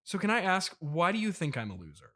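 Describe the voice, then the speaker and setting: A man's voice: high voice, very animated, quick pace, sharp tone, full character voice. A man playing an exasperated but indulgent trillionaire CEO father who hosts a comedy interview, patient and incredulous, often repeating himself. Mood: incredulous, defensive. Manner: patient, incredulous, hesitant repetitions. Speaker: podcast host.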